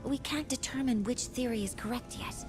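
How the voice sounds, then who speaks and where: A woman's voice: on the high side, normal speed, natural voice. A young woman playing an earnest professor in scripted game dialogue, speaking formally and precisely, devoted to her mentor. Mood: composed, explanatory. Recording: music behind the voice.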